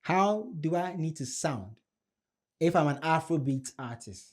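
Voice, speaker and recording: Male host, a touch high, lively and pushing questions. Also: measured pace. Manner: hyped, shouting out and pressing questions. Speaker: podcast host. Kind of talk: casual panel talk. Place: studio with woven cloth backdrop. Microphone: studio mic on a stand.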